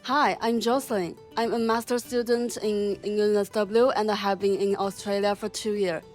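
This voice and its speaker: A woman's voice: mid-range voice, steady tone, moderate pace, darkish tone, faint music behind. A young woman from mainland China who is a master's student, speaking in a plain, matter-of-fact way. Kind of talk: interview answers.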